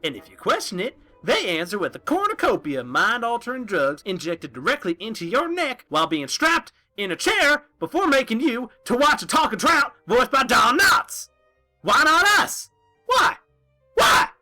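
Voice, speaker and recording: A man's voice: extremely high pitch, very animated, moderate pace, bright, forceful tone, soft background music, heavily acted. A man playing an eccentric, pompous town scientist giving a mock lecture in a comic audio drama, theatrical and prone to indignation. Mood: indignant ranting.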